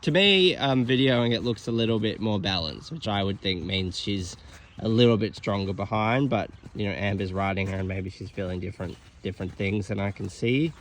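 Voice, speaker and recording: A man's voice: lowish voice, normal speed, a little hiss or hum. A man filming and casually commenting on a horse training session, with lots of fillers. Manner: relaxed, filler-heavy explaining.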